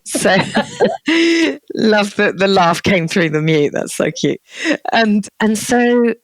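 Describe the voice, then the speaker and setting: A woman's voice: mid-range voice, animated, slow, no room sound. A woman in her forties from Chile with a Chilean accent, a podcast guest telling her life story in an earnest, reflective and frank way. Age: 40s. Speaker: guest, spiritual consultant. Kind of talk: personal storytelling in conversation.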